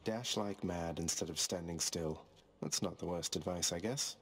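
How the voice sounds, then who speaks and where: An actor playing a dry, sardonic young underworld prince in a video game, understated and wry. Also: male voice, sits low, normal speed. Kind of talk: video game character acting.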